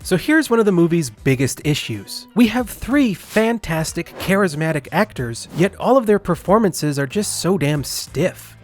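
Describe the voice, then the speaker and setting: A man hosting a comedic movie review, reading scripted narration in a sarcastic manner. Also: on the high side. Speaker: host of a movie review show. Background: background music.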